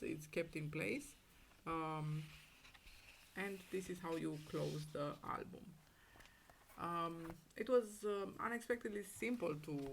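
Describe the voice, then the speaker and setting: A woman with a cold and a raspy, hoarse voice, showing off a handmade photo album in casual, halting talk full of ums. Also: fairly low pitch, slight background noise. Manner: hesitant, many ums, fond and gushing. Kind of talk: casual craft show-and-tell.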